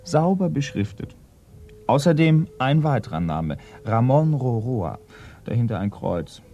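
An actor playing a dutiful, matter-of-fact detective's assistant in a radio crime play, measured and methodical, slightly hesitant. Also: male voice, medium pitch, big pitch swings, warm tone, muffled recording, soft background music. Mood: factual, reporting. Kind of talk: German radio play acting.

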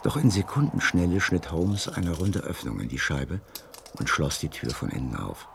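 Very deep, gravelly male storyteller. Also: very animated, normal speed, noisy background, heavily acted. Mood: tense narrating. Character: Holmes's anxious loyal companion, narrating their nighttime burglary. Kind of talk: radio drama narration and dialogue.

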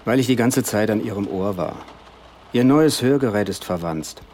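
A man's voice: on the low side, expressive, moderate pace, darkish tone, a little hiss or hum, lightly acted. An actor playing a cynical hired spy and hitman in a satirical radio play, speaking in a dry, matter-of-fact way.

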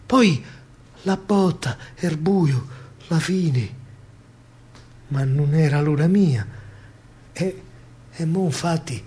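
A man's voice: a little high, takes it slowly, long pauses, mellow, voice pushed down. A man playing a cheeky, boastful soldier who survived, with a Roman accent and a colloquial, jaunty way of telling it, in stage verse. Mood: cheeky, relieved.

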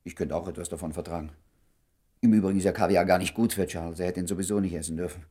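A man's voice: low voice, fairly even intonation, very fast, warm tone, lightly acted. An actor playing a jealous, sardonic, lovesick man in his thirties in a radio comedy drama, blustering and stammering when worked up. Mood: dry, sardonic. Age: 30s.

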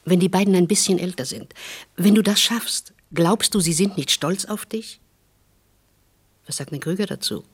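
An actress playing a resolute, caring wife and protective neighbour in a German radio play, firm and matter-of-fact. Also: female voice, on the low side, normal speed, breathy edge. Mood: probing, calm.

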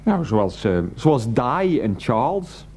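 An actor playing an evasive, petty, defensive husband in a Dutch radio play. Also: male voice, mid-range voice, sing-song, slow, darkish tone, breathy edge, full character voice. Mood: exasperated.

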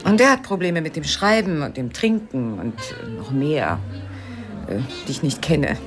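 A sorrowful, composed wife in a radio crime play. Her voice sits very low, moving in measured, wistful phrases with small hesitations. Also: sing-song, over music. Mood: troubled. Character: melancholy, composed married woman mourning lost first love. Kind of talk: German radio crime drama acting.

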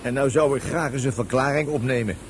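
A man's voice: mid-range voice, fairly quick, noisy background, lightly acted. A man playing an officious but obedient police inspector in a comic radio drama, speaking formally. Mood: puzzled.

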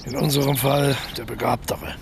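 An actor playing a wry, evasive ex-convict and failed actor in a naturalistic radio crime drama, reticent and resigned in manner. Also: male voice, medium pitch, normal speed, creaky, slight background noise, close to an everyday voice. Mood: reflective, rueful. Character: Franz, wry, evasive failed actor turned art thief, male.

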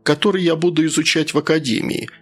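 A man's voice: fairly high pitch, steady tone, fast talker. A man narrating a first-person story as a scripted audiobook reader. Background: faint background music.

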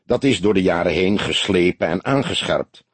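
An old detective from an audiobook crime story, voiced by a male narrator. He sounds husky and low-key, gruff yet modest, with dry, sardonic understatement. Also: animated, moderate pace, no echo. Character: gruff, modest old Amsterdam police detective, dryly mocking. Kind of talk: audiobook character voice.

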